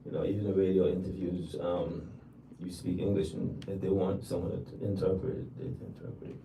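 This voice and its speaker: An older man from Jamaica, a DJ being interviewed on a livestream, answering in a relaxed way and repeating words for emphasis. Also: low-pitched, fairly quick, vocal fry. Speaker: DJ guest. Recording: roomy, distant, noisy livestream audio.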